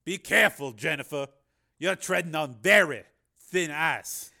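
A cool, manipulative politician, as the narrator plays him, speaking slowly and wryly with a quietly menacing calm. The voice sits a little above the reader's own and carries a bright edge. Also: male voice, a little high, vocal fry, pitched above the performer's own voice, full character voice. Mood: calmly menacing. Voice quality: calm and wry.

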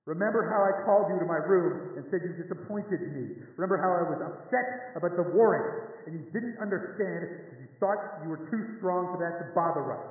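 A man voicing an aging male mob boss who speaks in a measured, persuasive way over a radio. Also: sits high, recorded from a distance, pitched above the performer's own voice. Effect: radio transmission. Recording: tinny phone-like sound, echoey hall.

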